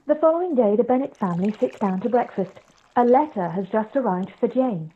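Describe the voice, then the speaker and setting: A woman's voice: on the high side, animated, brisk, husky. A woman reading scripted narration for a radio play over a video call. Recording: dull video-call audio.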